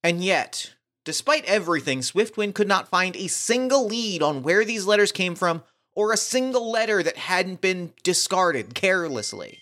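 A performer playing a grand storyteller, narrating a fantasy audio drama in a formal, measured way. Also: medium pitch, very animated, a bit bright.

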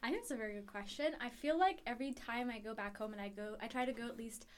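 A woman's voice: a little high, quick pace. Young woman from Ethiopia, noisy recording. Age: early 20s. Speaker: podcast guest, university student. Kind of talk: conversational personal reflection.

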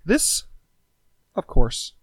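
A man co-hosting a podcast in casual comedic chat, with a halting, playful way of repeating and rephrasing himself. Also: a bit bright, dry recording. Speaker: podcast co-host.

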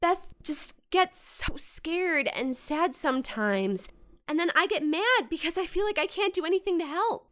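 A protective young American big sister, acted by a woman in a modern audio drama. Her voice sits high and swings animatedly, quick to flare up and full of devotion. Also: expressive, a bit bright, heavily acted. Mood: subdued, caring.

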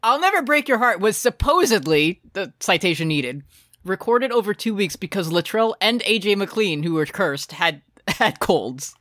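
A woman co-hosting a music podcast, chatting in an animated, sarcastic way. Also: medium pitch, moderate pace, no echo, studio quality. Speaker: podcast co-host. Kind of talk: casual music review chat.

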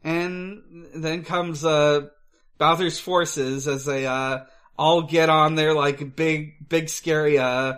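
Male podcast co-host, fairly high voice, slow pace, casual recap delivery with hesitant searching. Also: steady tone, fairly bright tone. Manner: halting, hesitant, searching for words. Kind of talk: casual film recap chat.

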